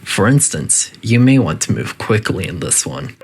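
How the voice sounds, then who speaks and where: Man voicing a low, deadpan facility AI announcer. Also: moderate pace, breathy edge, exaggerated voice. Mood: clinical, detached. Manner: formal, detached, deadpan. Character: male facility AI announcer, coolly polite, clinical, faintly menacing. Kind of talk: scripted test-chamber announcements.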